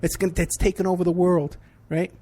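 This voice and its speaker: A man's voice: high-pitched, flat delivery, fairly quick, dark tone. A man from New City, hosting a talk radio show in a rambling, sarcastic monologue. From New York City. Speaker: radio show host, private investigator.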